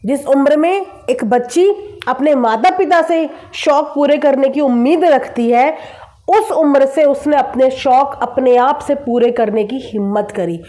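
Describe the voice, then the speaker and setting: Female vlogger, high voice. Opinionated commentary delivered straight to viewers, sarcastic and emphatic. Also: a little slow, warm tone. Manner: sarcastic, with rhetorical questions and emphatic repetition. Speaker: vlogger commenting on online creator gossip.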